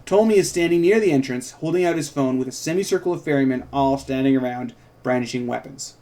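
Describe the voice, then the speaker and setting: A man's voice: medium pitch, fast, mellow. A man co-hosting an informal table read, reading stage directions and breaking off into rambling, self-interrupting asides. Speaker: podcast host and co-writer reading stage directions of his own script.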